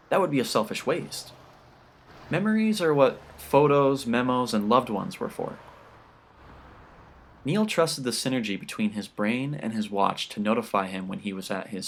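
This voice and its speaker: Male audiobook narrator, medium pitch, scripted short-story reading. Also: lively intonation, moderate pace.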